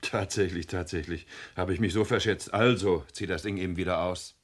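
A man's voice: low voice, fairly even intonation, brisk. A man playing a middle-aged father in a radio play, coaxing and persistent, a little awkward. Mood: baffled, deflated. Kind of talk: German radio play acting. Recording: clear background noise, old radio recording.

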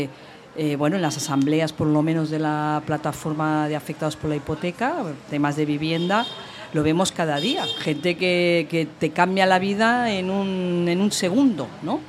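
A woman's voice: lowish voice, noisy background. A woman with an accent from Spain talking casually in a panel discussion, often adding short tag questions. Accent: Spanish, from Spain. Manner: conversational, frequent tag questions. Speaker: panel participant.

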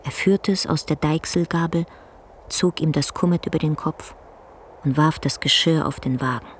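An actress narrating a literary radio play in a measured, solemn way. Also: female voice, low voice, slight background noise, natural voice. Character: solemn storyteller of an old man and horse.

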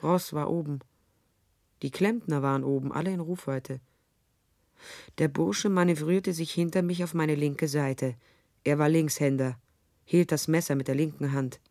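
A woman's voice: deep voice, fairly even intonation, moderate pace, long pauses, warm tone. An actress playing a tough, sardonic female private detective in a radio drama, dialogue and first-person narration, dry and wry. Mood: tense, dry narration. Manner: dry, wry, hard-boiled.

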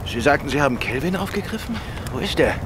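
Male detective lead for radio crime drama, played by a man: high-set, very lively pitch, commanding, wry, quick-thinking. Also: noisy background, natural voice. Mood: probing. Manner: crisp orders and pointed questions.

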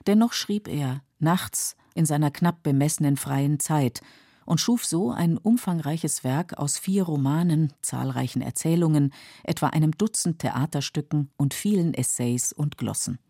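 A woman reading scripted documentary narration for a literary radio feature in a measured, factual, clearly articulated way. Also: sits low, dark tone, no echo, studio quality.

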